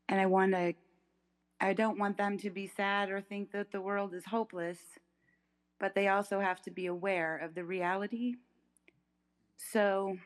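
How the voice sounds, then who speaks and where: A woman from the United States giving an earnest, thoughtful comment as an audience member, unscripted. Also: mid-range voice. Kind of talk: spontaneous, reflective comment. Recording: reverberant hall sound.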